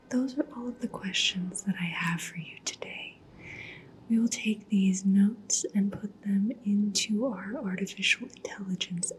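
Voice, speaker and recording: A woman playing a polite, discreet cyberpunk matchmaker in an ASMR roleplay, speaking gently and professionally in a breathy whisper. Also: medium pitch, slight background noise, lightly acted, poor audio. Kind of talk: soft-spoken ASMR roleplay.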